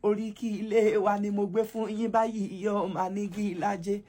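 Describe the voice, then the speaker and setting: A man's voice: high voice, little pitch movement, fairly quick, mellow. Man chanting rhythmic praise poetry. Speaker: performing poet.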